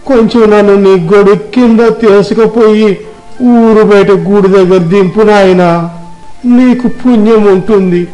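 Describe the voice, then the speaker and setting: A high, mellow voice of an old beggar acted by a man, humble and pleading in a moral tale. He sounds frail and needy, with music playing underneath. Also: poor audio. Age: older. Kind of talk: dramatized moral story. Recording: music behind the voice.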